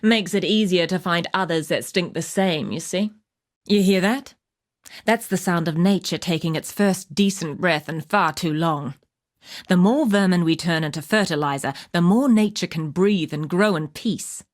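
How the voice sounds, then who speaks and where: Low-voiced, wry wilderness huntress played by an actress. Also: female voice, moderate pace, lightly acted. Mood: confiding, instructive. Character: tough, wry wilderness huntress, earthy and purposeful. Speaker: game character voice actor. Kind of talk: video game quest-giver dialogue.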